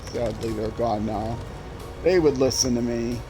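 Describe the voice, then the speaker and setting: A man's voice: mid-range voice, fairly even intonation, measured pace, over music. An older man from Minnesota with a Minnesota accent, rambling and self-deprecating as he streams a driving game. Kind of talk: casual gameplay commentary.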